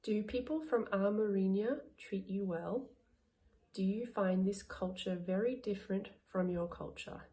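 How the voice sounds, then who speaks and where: A woman answers interview questions in a slow, deliberate way. Her voice is soft and mellow, with no rush at all. She sounds like a language assistant talking about her life abroad. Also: medium pitch. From Australia.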